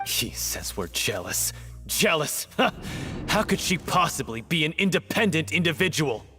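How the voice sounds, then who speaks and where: A man's voice: on the high side, big pitch swings, a little slow, music in the background. An actor playing a scornful man in a hostile courtroom crowd, mocking and incredulous, in a dramatic video game.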